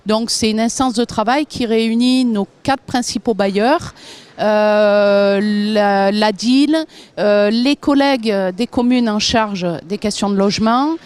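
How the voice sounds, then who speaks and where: A woman with a fairly high voice speaks as a local official explaining her work. Her phrasing is measured and somewhat hesitant, with drawn-out pauses as she sets out each point. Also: a little mellow, clean tone. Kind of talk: explanatory interview testimonial, semi-prepared.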